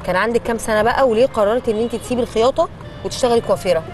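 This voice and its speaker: A young woman with an Egyptian accent interviewing conversationally outdoors, prompting with quick follow-up questions. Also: a little high, a little mellow, soft background music. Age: young adult. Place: city street, outdoors. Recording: clear outdoor street noise.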